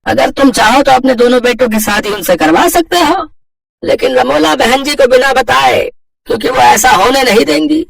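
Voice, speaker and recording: A man's voice: very high voice, animated, moderate pace, gravelly, fairly bright tone, distant mic, voice pushed up, heavily acted, low-quality recording. A man voicing a scheming, helpful friend in a moral story, coaxing and persuasive as he gives advice.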